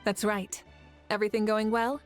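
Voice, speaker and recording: A woman's voice: mid-range voice, level tone, moderate pace, faint music behind, lightly acted. Woman as composed, kindly warrior leader. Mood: calm, kind. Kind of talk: anime game voice acting.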